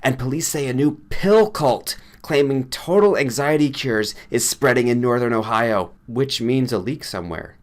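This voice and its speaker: A man's voice: mid-range voice, moderate pace, hardly any pauses, voice pushed up, lightly acted. A man playing an agitated male colleague in an audiobook, urgent and raised in voice. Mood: alarmed. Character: agitated male colleague bringing grim news.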